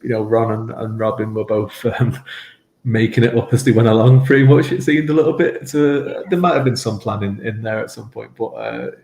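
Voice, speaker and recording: A man's voice: on the low side, a little fast, creaky, darkish tone, roomy sound. A man from Manchester with a Mancunian accent, a guest chatting casually in a rambling, self-deprecating way and laughing often. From England, Manchester. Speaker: game show contestant, guest. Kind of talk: casual interview chat.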